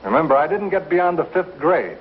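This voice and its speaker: An easygoing small-town husband, with a slightly high male voice and a folksy, teasing way of talking. It is 1950s TV Western acting on a dull, faintly noisy soundtrack. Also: very animated, brisk. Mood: teasing, dry.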